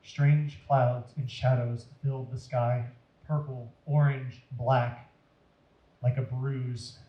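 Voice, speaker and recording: Slow, echoey man reading to children. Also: medium pitch, little pitch movement, highs cut off. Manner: deliberate, dramatic, addressing young listeners. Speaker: children's storyteller at a church. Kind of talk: expressive read-aloud to children. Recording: roomy echo.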